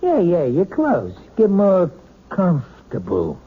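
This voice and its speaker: An actor playing an overbearing, curt husband in an old radio drama. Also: male voice, high-pitched, huge pitch swings, slow pace, husky, heavily acted. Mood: domineering. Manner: curt, domineering. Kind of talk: 1970s radio drama acting. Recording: dull old radio broadcast with faint hiss.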